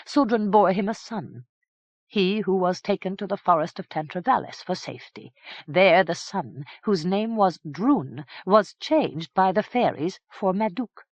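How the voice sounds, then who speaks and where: An audiobook narrator voicing a sly, scheming priest who talks in a smooth, ingratiating way. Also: mid-range voice, lively intonation, a little slow, no echo, muffled recording, voice pushed up. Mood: confiding. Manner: smooth, ingratiating, bleating when alarmed.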